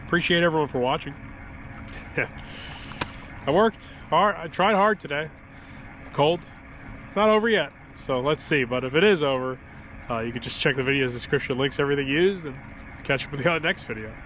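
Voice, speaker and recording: A man filming a casual fishing vlog outdoors in winter, sounding stuffy from a cold, talking in a rambling, relaxed way with small chuckles. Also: fairly high pitch, normal speed, long pauses, rough recording. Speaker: fishing vlogger. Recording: outdoor recording with clear background noise.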